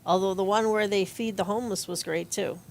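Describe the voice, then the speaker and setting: A woman's voice: medium pitch, steady tone, a little fast, vocal fry. A woman reading in a conversational style as a performer in an audio play. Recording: faint background noise.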